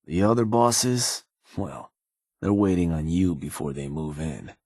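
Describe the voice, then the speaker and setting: A cynical gang advisor from a video game, speaks in a very deep, husky voice. He is laid-back and slangy, with sly, folksy menace as he addresses his boss. The sound is close and dry. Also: expressive, a little slow, darkish tone, no echo, exaggerated voice. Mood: wry, explaining. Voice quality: husky, low. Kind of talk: video game character dialogue.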